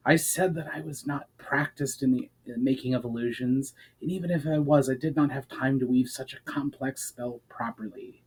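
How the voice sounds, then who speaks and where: A man voicing a tall, composed male mage from a fantasy story, speaking in a measured, formal way. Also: medium pitch, darkish tone, breathy edge, natural voice, poor audio. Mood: defensive. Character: tall calm male mage, urgent peacemaker.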